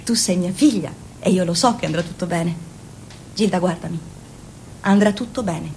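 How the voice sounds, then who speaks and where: A woman's voice: lowish voice, normal speed, background noise, natural voice. An actress playing an overbearing mother who turns from bitter scolding to doting, in a radio play. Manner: dramatic, swings from scolding to cooing. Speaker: mother of two grown children.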